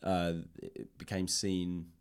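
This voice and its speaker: Low-voiced man interviewing casually. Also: completely flat delivery, slow, smooth. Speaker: podcast host and interviewer. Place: indoors in a public library room.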